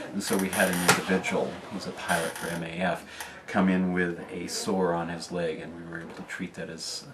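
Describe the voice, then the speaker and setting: A man on clinic medical staff talking informally about the work, measured, using clinical terms and pausing on fillers. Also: low-pitched. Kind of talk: informal recruiting talk.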